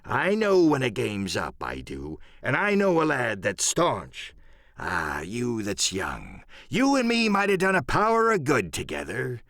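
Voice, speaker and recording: A man's voice: medium pitch, huge pitch swings, measured pace, a bit bright, no echo, clean studio sound, full character voice. A man voicing a cunning, blustering old one-legged pirate sea cook in an audiobook, with rustic, emphatic sailor speech. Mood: confiding, scheming. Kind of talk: dramatic audiobook character acting.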